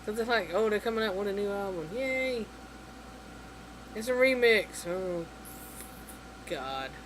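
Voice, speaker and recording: A casual vlogger rambling through an exasperated rant, full of fillers and restarts. The voice sits at an ordinary middle pitch. A steady air-conditioning hum fills the noisy room behind it. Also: measured pace. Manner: rambling, exasperated, heavy on "you know" and "like". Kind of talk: casual opinion rant to camera.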